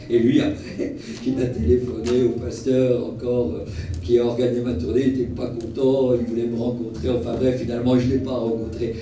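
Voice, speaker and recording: A man preaching as a travelling evangelist, telling personal stories in an earnest, animated way and repeating phrases for emphasis. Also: medium pitch. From Portuguese family origin. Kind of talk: sermon with personal storytelling. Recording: echoey hall, distant mic, faint noise.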